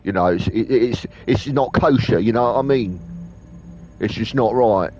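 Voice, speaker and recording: A man talks casually and crudely over a game, joking and swearing. His voice is on the low side. Faint game music runs beneath him. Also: moderate pace, a little mellow. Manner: sweary, jokey, mocking, laughs often. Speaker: gaming commentator. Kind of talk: casual gameplay commentary.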